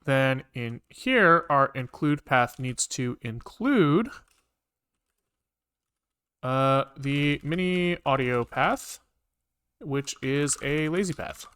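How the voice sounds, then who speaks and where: A man's voice: medium pitch, animated, very slow, long pauses, bright, forceful tone, smooth. A man live-streaming a programming session, talking casually to himself with informal, sweary muttering as he works things out. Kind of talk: casual thinking aloud while coding.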